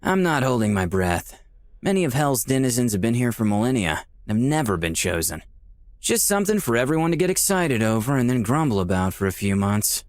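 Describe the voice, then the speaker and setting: A man's voice: medium pitch, sing-song, brisk, lightly acted. A man playing a skeptical, dryly sardonic dead man in Hell, narrating a visual novel in a wry, conversational way. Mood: cynical.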